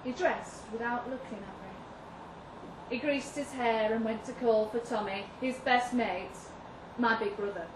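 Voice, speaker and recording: A woman reads literary fiction aloud at an unhurried pace, leaving deliberate pauses between phrases. Her voice sits a little high and she delivers the story expressively. The live recording is echoey, with clear background noise. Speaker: reader of a short story at a live literary event. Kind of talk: expressive literary story reading in first person. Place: live reading event in an indoor venue. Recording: roomy live venue, distant mic, noisy.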